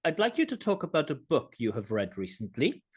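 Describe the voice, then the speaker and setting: A man working as a speaking examiner, asking scripted questions in a measured, formal way over a video call. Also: on the high side, wildly swooping pitch, husky.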